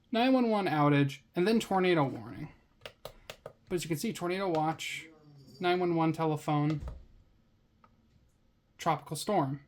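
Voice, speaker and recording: A woman's voice: on the low side, darkish tone, a little hiss or hum. A woman hosting a casual product review, chatting in a wry, slangy and easily amused way. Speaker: product reviewer, vlog host.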